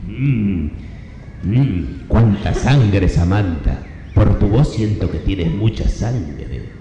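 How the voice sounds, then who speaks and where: Slow-talking man, lowish voice, echoey hall. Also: expressive, background noise.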